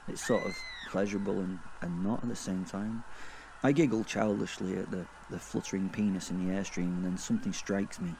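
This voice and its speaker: A crude, sardonic werewolf played by a man narrates a dark fantasy tale in a low voice. He is wry and sweary, pausing as he thinks. There is faint noise behind the voice. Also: gravelly, rough recording. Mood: wry, musing. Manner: sweary, deadpan, wry, halting. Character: crude, sardonic male werewolf narrating his own afterlife. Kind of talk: first-person dark fantasy audio drama narration.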